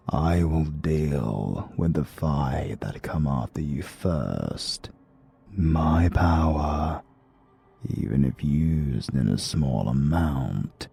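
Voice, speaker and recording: A menacing supernatural advocate from a dark audiobook, played by a man. He speaks British English in an extremely deep, husky voice, very slowly and formally. His cold confidence never breaks. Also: exaggerated voice. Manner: unhurried, formal, coldly confident.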